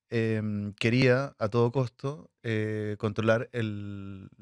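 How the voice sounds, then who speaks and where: A man answers questions about his work, pausing often and restarting his sentences. His voice sits on the low side and comes across smooth and clear. The recording is close and dry. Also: no echo. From Chile. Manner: halting, many fillers and restarts. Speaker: filmmaker guest. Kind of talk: interview answers.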